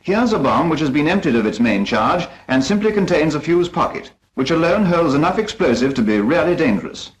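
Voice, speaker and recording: A man's voice: fairly high pitch, big pitch swings, fairly quick, few pauses, poor audio. A British man narrating a 1940s instructional film from a script in a formal, precise, instructive manner.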